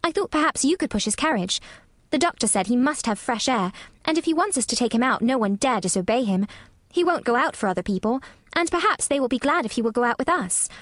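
Woman voicing a high-pitched, earnest little girl. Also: expressive, fast, fairly bright tone, no echo. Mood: eager, planning. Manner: proper, earnest child's diction. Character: eager, earnest young English girl.

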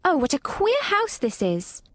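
A woman's voice: high-pitched, wildly swooping pitch, unhurried, no room sound, studio quality, voice pushed up, heavily acted. A woman voicing an inquisitive, forthright young girl with a blunt, questioning manner in a storybook reading. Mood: puzzled.

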